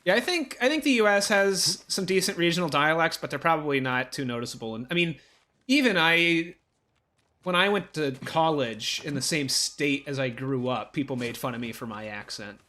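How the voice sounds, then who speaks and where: Co-host for a let's play: young man, fairly high voice, rambling and hesitant. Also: expressive, moderate pace, pushed and bright. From Ohio, USA. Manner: rambling, hesitant, many fillers and restarts. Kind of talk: casual gameplay chat.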